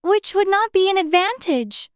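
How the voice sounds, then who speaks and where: A woman's voice: very high voice, lively intonation, normal speed, no room sound, clean studio sound. A woman voicing an anxious, polite, know-it-all little girl in a dramatized storybook audiobook. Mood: proudly explaining.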